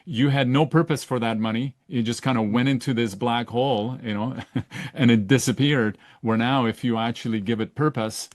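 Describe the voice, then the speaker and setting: Dry-sounding host from Czechoslovakia. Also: male voice, medium pitch, normal speed, no echo. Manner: searching, self-correcting, with frequent "right?" tags. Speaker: podcast host. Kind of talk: casual conversational interview.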